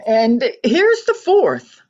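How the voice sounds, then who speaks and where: Woman playing businesslike wry inspector, highish animated voice, bit lo-fi, radio play. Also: drawn-out words, full character voice. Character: brisk, wry, official police inspector. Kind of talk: old-time radio drama acting.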